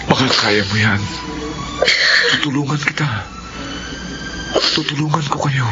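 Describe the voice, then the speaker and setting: Actor as a kind, earnest young man, medium-pitched. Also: a little slow, over loud music, lightly acted. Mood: reassuring. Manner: earnest, reassuring, respectful. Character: kind, steady young man Marvin, helpful, devout. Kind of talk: Filipino radio drama acting. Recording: muffled radio audio over loud noise.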